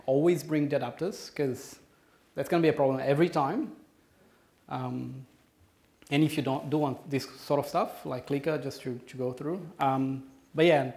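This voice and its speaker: A man's voice: mid-range voice, a little mellow. A man in his thirties with a Brazilian accent, answering audience questions after his conference talk, with frequent ums and restarts. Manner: hesitant, many restarts and ums. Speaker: conference speaker. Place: conference room.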